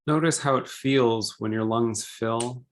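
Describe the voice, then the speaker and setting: A man leading a guided meditation, speaking gently and deliberately in short phrases with pauses between them. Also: mid-range voice.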